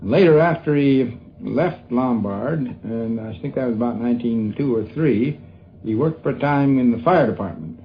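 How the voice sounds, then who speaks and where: A man's voice: medium pitch, normal speed. An older, educated man with an American accent, reminiscing hesitantly in a dull 1950s radio interview. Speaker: interviewee, university academic. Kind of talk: unscripted reminiscing.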